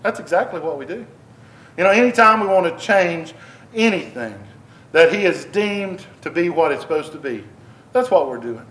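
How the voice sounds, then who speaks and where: High-voiced man preaching. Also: lively intonation, normal speed, fairly bright tone. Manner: conversational, repeats and restarts, rising emphasis on questions. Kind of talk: sermon, Bible teaching. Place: church building. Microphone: microphone.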